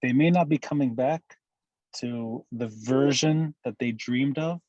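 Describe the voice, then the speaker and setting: A man from Lebanon speaking as a guest on a podcast interview, making emphatic, measured points. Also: medium pitch, a little mellow, dry recording, dull audio. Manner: measured, emphatic analytical speech. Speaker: guest commentator.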